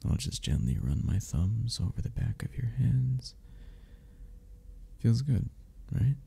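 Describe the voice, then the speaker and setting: A man playing a gentle, patient, flirty cuddle club member in an intimate ASMR romance roleplay, soft-spoken, considerate and reassuring, with a gravelly, husky voice. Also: mid-range voice, big pitch swings, slow, heavily acted. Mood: tender, teasing.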